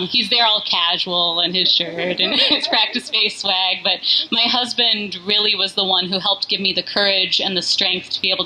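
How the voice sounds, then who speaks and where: A woman's voice: mid-range voice, sharp tone, a little hiss or hum, rough recording. A woman in her forties from the Bay Area gives a casual, heartfelt welcome speech as an organization's founder, with frequent fillers and restarts. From Bay Area, California, US. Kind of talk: casual live speech to a party crowd. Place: indoor event venue.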